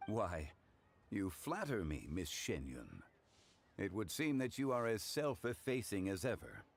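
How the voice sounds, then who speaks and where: An actor playing a dignified, composed male scholar in a video game, speaking in formal, measured, courtly phrases. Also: lowish voice, sing-song, exaggerated voice.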